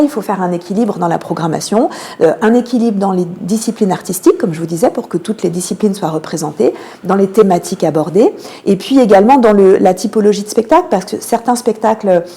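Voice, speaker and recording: A woman with a dark, relaxed voice at a middle pitch answers as a radio interview guest. She explains her work in detail. Her phrases are often broken by long, searching fillers. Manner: explanatory, frequent hesitations and drawn-out fillers. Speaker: theatre programming manager, interview guest.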